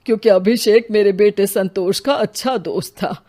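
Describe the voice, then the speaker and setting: A woman voicing a grieving old male village headman in a cartoon, talking slowly and breaking into sobs. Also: medium pitch, mellow, lightly acted. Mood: sorrowful. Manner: slow, sobbing, breaking into weeping. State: crying. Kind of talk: Hindi cartoon voice acting.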